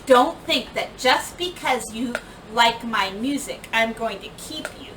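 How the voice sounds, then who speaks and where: A woman voicing a blunt but soft-hearted child pet owner in a storybook, with emphatic, expressive delivery. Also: a little high, measured pace. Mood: grudging, firm. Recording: roomy, distant microphone, clear background noise.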